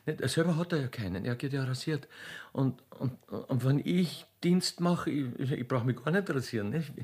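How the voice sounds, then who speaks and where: A man's voice: medium pitch, expressive, moderate pace, darkish tone, breathy edge. A man playing a naive, lovestruck young Alpine girl with an Austrian accent and a shy stammer in a radio play. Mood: naive bashful. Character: naive, lovestruck young railway keeper's daughter from the Alps.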